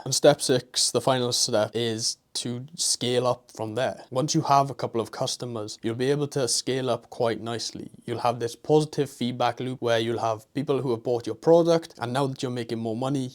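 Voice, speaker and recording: A man's voice: mid-range voice, a little mellow. A young man with a Welsh accent, giving motivational online business advice straight to the viewer, pushy and encouraging. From Wales.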